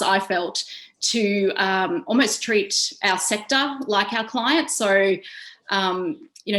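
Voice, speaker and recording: A woman speaking unscripted on a discussion panel, often pausing to find her words. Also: medium pitch, a bit bright. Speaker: panelist, career development practitioner.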